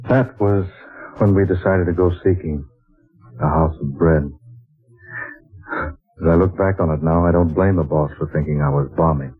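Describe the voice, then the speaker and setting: A man's voice: very deep voice, normal speed, pauses often, husky. An actor playing an earnest male writer who narrates his journey, in 1940s radio drama style. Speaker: narrator and lead character. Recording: tinny lo-fi old radio broadcast with music.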